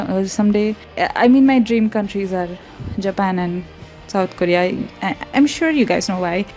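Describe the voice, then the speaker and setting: A woman's voice: mid-range voice, darkish tone. A young woman from India whose first language is not English, chatting loosely in a voiceover over her painting, often giggling and correcting herself. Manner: rambling, chatty, frequent giggles and self-corrections. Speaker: art channel host. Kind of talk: casual voiceover over a speedpaint.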